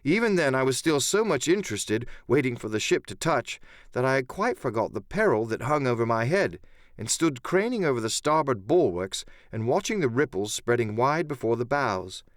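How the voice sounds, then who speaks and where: A man's voice: mid-range voice, big pitch swings, normal speed, no room sound, clean studio sound. A man giving a dramatic first-person narration of an adventure story as an audiobook narrator.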